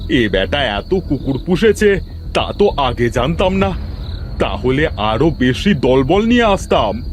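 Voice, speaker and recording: A man's voice: mid-range voice, sing-song, slow, slight background noise. A man voicing a boastful, bullying bandit chief in a cartoon, commanding and threatening. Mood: scornful. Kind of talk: Bengali cartoon voice acting.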